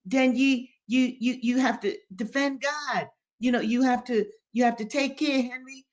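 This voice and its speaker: Woman acting a high-voiced, fretful pious old man. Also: fairly even intonation, lightly acted. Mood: anxious scolding. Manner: halting, repeating, folksy dialect. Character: elderly pious man, fretful, scolding and pleading. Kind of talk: dramatic novel reading.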